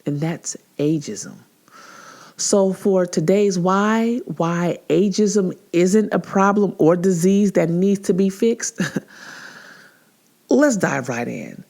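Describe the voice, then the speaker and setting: Forties woman doing a solo podcast, chatty and animated, jumps way up high when she acts out quotes. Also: gravelly. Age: 40s. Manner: laughs easily, with frequent filler words and restarts. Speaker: podcast host. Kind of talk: casual solo podcast monologue.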